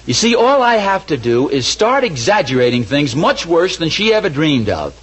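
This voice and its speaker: A man's voice: a little high, sing-song, normal speed, fairly bright tone, slightly rough, full character voice, poor audio. An actor playing a scheming, mildly exasperated husband and father in an old-time radio comedy, chatty and mock-earnest. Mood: eager scheming.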